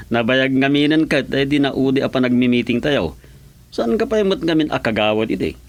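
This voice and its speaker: A man's voice: medium pitch, expressive, moderate pace, lightly acted. A male actor with an Ilocano Filipino accent playing a hesitant, stammering gossip in a comic radio drama. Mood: hesitant, gossipy. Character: hesitant gossiping gentleman, Mister Kaasay, bringing foundation news.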